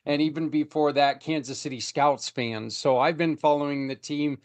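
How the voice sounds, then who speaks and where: A male host with a fairly high voice, chatting and joking his way through the interview. The sound is dry and close, with no room echo. He grew up in New Jersey and Colorado. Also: steady tone, normal speed. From New Jersey, raised in Colorado. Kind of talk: casual interview chat.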